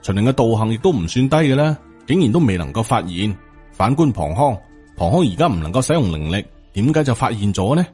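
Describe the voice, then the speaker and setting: A man narrates a supernatural story in Hong Kong Cantonese. His intonation moves in lively swings as he reads the scripted tale. Soft music plays behind him. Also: medium pitch, fast talker, slightly rough. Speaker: audiobook narrator. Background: background music.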